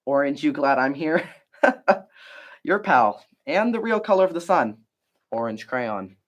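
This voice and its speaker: A man's voice: fairly high pitch, animated, takes it slowly, lo-fi sound. A man voicing a huffy, self-important crayon from a children's picture book, exasperated and sarcastic. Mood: huffy smug.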